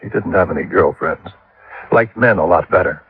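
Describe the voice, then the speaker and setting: An actor playing a gruff, sceptical middle-aged husband and father with a blunt, scolding way of talking in a radio drama. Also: low voice, normal speed, heavily acted. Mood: weary, frank. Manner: blunt, scolding, plain-spoken. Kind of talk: 1950s radio drama acting. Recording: tinny vintage radio, band-limited.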